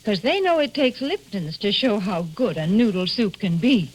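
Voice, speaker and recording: A woman doing a prim, chirpy sponsor's pitch in scripted 1940s radio style. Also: very animated, moderate pace. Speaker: sponsor's announcer. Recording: faintly noisy 1940s radio recording.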